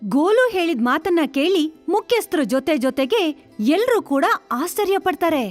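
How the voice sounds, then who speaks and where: Very high-pitched woman narrating stories. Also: expressive, normal speed, faint music behind. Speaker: story narrator. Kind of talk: scripted children's story narration.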